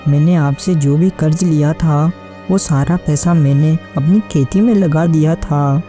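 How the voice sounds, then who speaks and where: Cartoon lead: humble earnest village man played by a woman, low and mellow, plaintive, soft music behind. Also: unhurried. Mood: distressed. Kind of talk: Hindi animated moral story dialogue.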